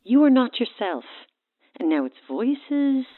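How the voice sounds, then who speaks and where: A woman's voice: fairly high pitch, sing-song, slow, full character voice. Worried woman on a thin phone line. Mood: worried, sceptical. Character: worried, insistent friend on the phone. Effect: telephone filter. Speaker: actor in an audio horror drama. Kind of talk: naturalistic horror audio drama acting.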